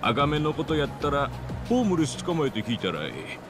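Lively, teasing Kansai local. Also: male voice, a little high, fairly quick, over music. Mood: suspicious, amused. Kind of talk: video game drama acting.

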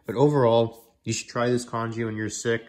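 A man's voice: medium pitch, fairly even intonation, a little fast, a little mellow. A man with a cold, congested, casually chatting and rambling through a home cooking vlog in his kitchen. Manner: chatty, rambling, self-correcting. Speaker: food vlogger.

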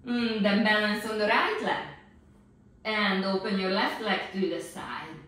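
A woman's voice: medium pitch, fairly even intonation, measured pace, a bit bright. A middle-aged woman working as a Pilates instructor, giving encouraging step-by-step exercise cues. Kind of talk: exercise instruction, demonstrating moves. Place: small indoor home-style exercise studio. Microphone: distant camera microphone. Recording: echoey room, distant microphone.

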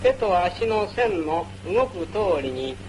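A man's voice: sits high, big pitch swings, takes it slowly, darkish tone, slight background noise, poor audio. A man narrating a wartime military training film in a formal, instructional manner, on an old film soundtrack. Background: music.

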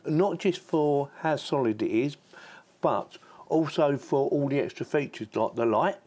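A man's voice: medium pitch, a little mellow. A man hosting a casual product review, chatting informally and thinking aloud as he demonstrates the gear. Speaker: tech reviewer and channel host.

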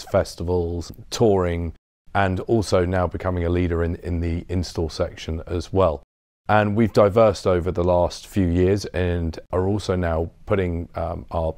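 Deep-voiced man giving a showroom tour. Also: darkish tone, no room sound, clean studio sound. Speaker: business development director giving a showroom tour. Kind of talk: informal explanatory product presentation. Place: large acoustically treated showroom.

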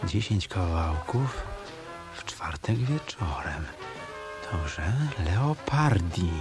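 A tough hotel detective in a radio drama. His voice sits low, and he mutters his wry, hard-boiled lines over clearly audible music. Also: sing-song, very slow, exaggerated voice. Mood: brooding. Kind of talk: Polish radio play acting.

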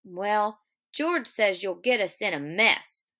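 A woman reading a novel aloud as a childlike, simple-minded, fearful male farmhand with halting rural speech. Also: mid-range voice, animated, bright, forceful tone. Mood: guarded, obedient.

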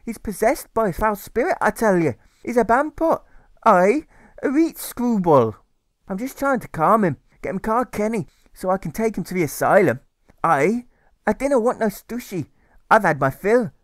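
A man's voice: high voice, expressive, moderate pace, no echo, clean studio sound, pitched above the performer's own voice. A man voicing an angry, exasperated Scottish carer who bellows in emphatic dialect, in a storybook reading.

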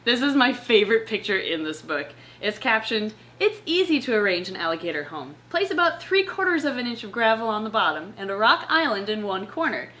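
A young woman hosting in a casual, chatty way, with an expressive, playful manner. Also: mid-range voice, brisk, hardly any pauses. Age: young adult. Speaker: host of a reptile channel. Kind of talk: casual chatty presenting with read-aloud passages. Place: living room at home, on a couch. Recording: clear background room noise.

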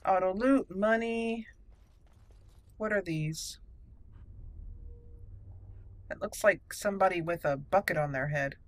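A woman's voice: mid-range voice, unhurried, no room sound. A woman hosting a casual let's play, chatty and reactive, thinking aloud as she plays. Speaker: gaming channel host.

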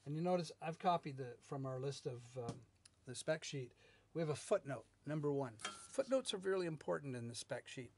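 Middle-aged man, measured technical instructor. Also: fairly high pitch, lively intonation, darkish tone, a little hiss or hum. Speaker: loudspeaker R&D manager, instructor. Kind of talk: explanatory technical lesson to camera. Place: small indoor video studio with whiteboard.

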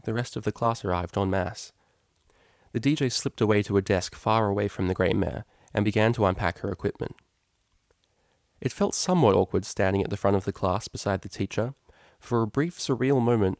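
A man's voice: on the low side, a little mellow, no echo, studio quality. A man reading a scripted story aloud as an audiobook narrator. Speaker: audiobook reader.

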